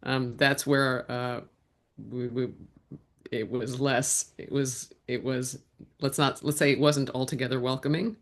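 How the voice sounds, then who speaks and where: Reflective woman guest with a gravelly, fry-edged voice, talking it through unscripted. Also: very deep voice, steady tone, measured pace. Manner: reflective, with frequent restarts. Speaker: guest, actor and writer. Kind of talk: conversational interview answers.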